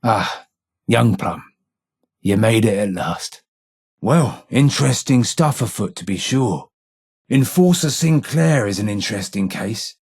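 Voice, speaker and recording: A weary old London underworld informer for a video game. The voice is rough with fry and rasp, carrying a London accent. The delivery is measured and wry, full of street slang and old guilt. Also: male voice, lowish voice, animated, a little mellow, heavily acted. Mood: thoughtful. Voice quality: husky, gravelly. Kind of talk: video game character dialogue.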